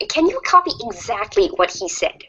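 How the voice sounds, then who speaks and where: A woman's voice: a little high, sing-song, normal speed, fairly bright tone, husky, heavily acted, rough recording. A woman voicing a playful, sly troll girl in an amateur reader's theater, teasing and confident. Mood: scheming.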